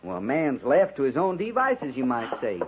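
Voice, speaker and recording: An actor playing a weary, dry-humored frontier army sergeant in a 1950s radio western. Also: male voice, medium pitch, wildly swooping pitch, moderate pace. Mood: wry. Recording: noisy, narrow-band 1950s radio recording.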